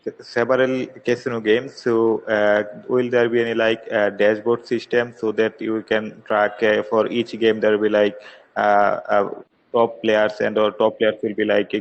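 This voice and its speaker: A man's voice: on the low side, monotone, moderate pace. A man calling in to ask questions, speaking hesitantly with many fillers. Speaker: caller asking a question. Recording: dull lo-fi audio.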